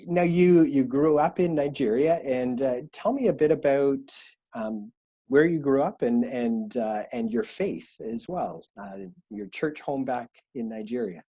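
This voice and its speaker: A man speaking as a pastor interviewing someone over a video call, in a halting, welcoming conversational way. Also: mid-range voice, animated, warm tone. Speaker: pastor interviewing a new church member.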